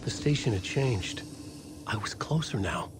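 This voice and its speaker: An actor playing a troubled, lost writer, narrating a horror game in short, pensive phrases and questioning himself. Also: male voice, on the low side, animated, measured pace, heavy background noise. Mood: searching, weary. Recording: loud eerie music underneath.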